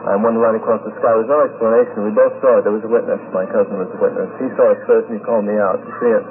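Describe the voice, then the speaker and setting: A man from Brooklyn giving an earnest, halting eyewitness account on a vintage 1950s radio recording. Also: lowish voice, steady tone, phone-quality sound. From Brooklyn, New York. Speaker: convention attendee, eyewitness interviewee.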